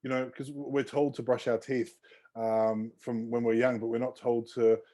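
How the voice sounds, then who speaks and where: A man's voice: medium pitch, flat delivery, moderate pace, mellow. A man, a clinician guest in an interview, talking in a rambling, friendly way with many "you know"s and restarts. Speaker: osteopath guest. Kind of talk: casual interview chat.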